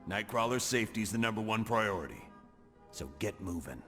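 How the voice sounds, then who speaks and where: An authoritative leader briefing his team in a video game. His voice is on the low side and measured, ringing in a hall over soft music. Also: animated. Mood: calm briefing. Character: calm, authoritative male superhero team commander. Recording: long reverb with music.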